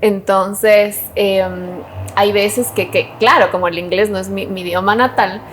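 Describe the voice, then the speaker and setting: A young woman with an Ecuadorian Spanish accent chats as a podcast guest. She tells stories in a lively way and acts out what people said. Her voice sits at a middle pitch. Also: measured pace, a little hiss or hum, low-quality recording. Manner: warm storytelling, animated quoting, frequent laughs. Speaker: guest sommelier. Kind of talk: casual interview chat.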